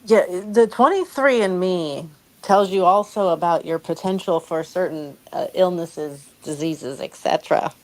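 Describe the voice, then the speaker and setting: Female live stream co-host, slightly low voice, blunt and unfiltered, off-the-cuff conversational delivery. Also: measured pace, darkish tone, poor audio. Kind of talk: casual chat.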